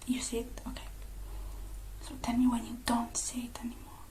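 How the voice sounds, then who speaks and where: A woman speaking in a breathy, mostly unvoiced whisper, gentle and hushed with many pauses, as in a close-miked ASMR roleplay. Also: on the high side, completely flat delivery. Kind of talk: whispered ASMR roleplay, one-sided personal attention.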